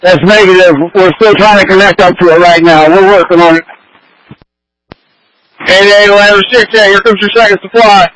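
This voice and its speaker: High-pitched male fire officer on radio. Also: fairly even intonation, normal speed, fairly bright tone, low-quality recording. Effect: two-way radio. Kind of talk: terse operational radio orders.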